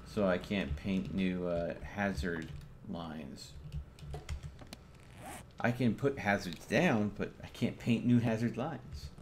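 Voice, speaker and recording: A man's voice: low voice, takes it slowly. A man giving casual live commentary and chatting while he streams a game. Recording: noisy gaming setup with keyboard clatter. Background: keyboard typing, steady background noise.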